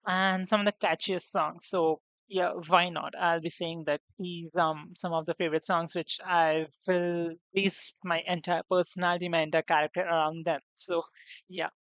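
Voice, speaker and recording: A young woman streaming on her debut as a VTuber, chatting casually and rambling with lots of fillers and restarts, her voice slightly hoarse. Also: lowish voice, a bit bright, no room sound. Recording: dull lo-fi sound, dry room.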